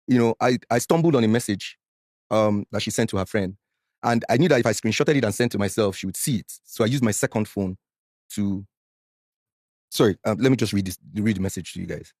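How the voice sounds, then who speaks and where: A Nigerian man in his forties telling a painful personal story as a podcast guest, animated and slipping into Pidgin when worked up. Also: a little fast, long pauses, dry recording, clean studio sound. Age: 40s. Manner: animated, mixes Pidgin, gets loud and high when worked up.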